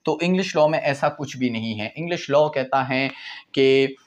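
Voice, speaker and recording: A man's voice: medium pitch, moderate pace. A man in his twenties with a Pakistani accent, lecturing informally on law and explaining as he goes, mixing in English legal terms. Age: 20s. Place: small indoor room with whiteboard.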